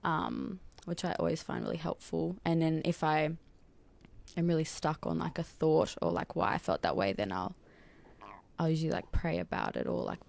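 Young woman with a creaky voice. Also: deep voice, normal speed, no echo. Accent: Australian. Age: young adult. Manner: hesitant, many fillers, soft-spoken. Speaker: university student. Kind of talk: casual panel chat. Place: church studio set, indoors. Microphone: handheld microphone.

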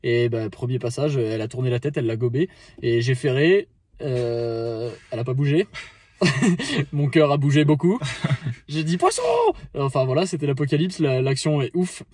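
A man's voice: medium pitch, normal speed. Slangy man recapping a fishing day. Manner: slangy, many fillers, excited storytelling. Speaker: fishing vlogger. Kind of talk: casual trip recap to camera. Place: inside a parked car. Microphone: phone at arm's length.

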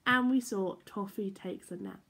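A young woman reading picture books aloud to children from her living room, playful and expressive. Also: mid-range voice, unhurried. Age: young adult. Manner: animated, playful read-aloud with sound effects. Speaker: church children's storyteller. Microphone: laptop or phone camera at arm's length.

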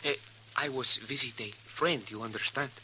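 Bright-voiced Mexican townsman, old radio western. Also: mid-range voice. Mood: eager, confiding. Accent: Mexican Spanish. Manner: halting broken English peppered with Spanish. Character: excitable Mexican townsman, a roguish witness in broken English. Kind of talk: 1950s radio western acting. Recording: noisy humming 1950s radio recording.

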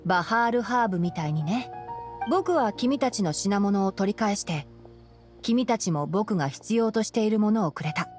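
A woman playing a young male forest ranger in a Japanese anime game, calm and studious, explaining things in a measured way. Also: mid-range voice, faint music behind, close to an everyday voice. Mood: gently firm. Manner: measured, matter-of-fact explaining.

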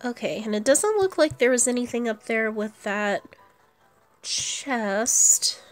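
A woman's voice: fairly high pitch, animated, takes it slowly. A woman giving casual commentary on her own gameplay, thinking aloud as she plays. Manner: thinks aloud while playing. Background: video game music.

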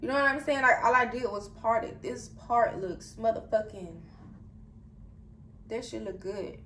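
A woman's voice: on the high side, a little slow, fairly bright tone. A woman chatting casually to viewers as a beauty vlogger, slangy, informal and candid in how she talks. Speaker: vlogger and wig seller. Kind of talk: casual beauty vlog chat. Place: indoor room. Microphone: phone camera at a distance. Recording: roomy, distant mic, faint noise.

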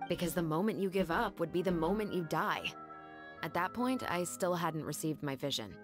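A woman voicing a stoic young patrol captain in a game, speaking in a calm, measured, reserved way. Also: lowish voice, lightly acted. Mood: solemn. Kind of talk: anime game dub. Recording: game audio under music.

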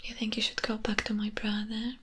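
A woman's voice: mid-range voice, completely flat delivery, brisk, a bit bright, husky. A young woman in an intimate ASMR comfort roleplay, whispering breathily and soothingly, very close to the microphone. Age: young adult. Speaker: ASMR performer. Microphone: close-up microphone, speaking into it ear to ear.

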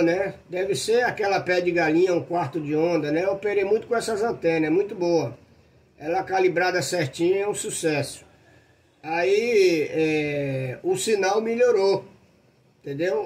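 A man's voice: high voice, darkish tone. A Brazilian man talking casually as an amateur operator, heard over a two-way radio. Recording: faint radio noise.

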